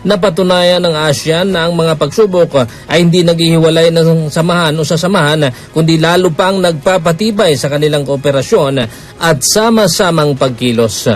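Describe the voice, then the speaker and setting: A man files a radio news report in a steady, formal read. His voice sits slightly high for a man, and a faint music bed runs underneath. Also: normal speed. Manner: steady formal reading with brief hesitations. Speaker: field reporter.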